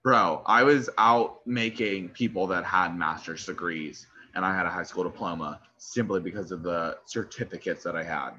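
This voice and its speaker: A man's voice: low voice, moderate pace, few pauses, dull audio. A young man with a lisp co-hosting a podcast, chatting casually, swearing and laughing a lot. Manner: profane, laughing, animated. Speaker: podcast co-host. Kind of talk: casual chat.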